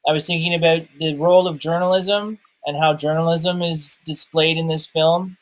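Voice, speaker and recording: Guy on a movie podcast, voice a bit high, feeling his way through questions, real casual. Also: steady tone, moderate pace, rough recording. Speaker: film review podcast co-host.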